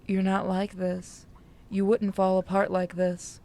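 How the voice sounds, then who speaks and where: A woman's voice: mid-range voice, completely flat delivery, measured pace, noisy background, natural voice. A woman voicing a despairing, pleading woman who is falling apart, in a visual novel. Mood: desperate, pleading. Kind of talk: visual novel character reading.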